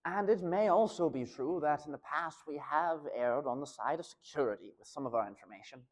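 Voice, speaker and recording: A man playing a halting, formal government research fellow with dry understatement, explaining himself in an analog horror archival interview. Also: fairly high pitch, lightly acted. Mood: measured, evasive. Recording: echoey hall with long reverb.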